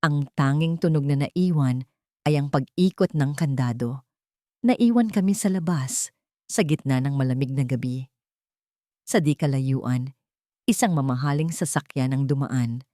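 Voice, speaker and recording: A woman's voice: a bass voice, measured pace, pauses often, dark tone, no room sound, clean studio sound. A woman reading a scripted, first-person dramatic story as its narrator.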